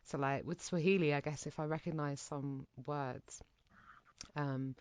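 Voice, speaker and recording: A woman with a low voice, interviewing in a casual, thoughtful way as she talks her ideas through at length. The sound is close and dry. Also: steady tone, measured pace, darkish tone, smooth, dry recording.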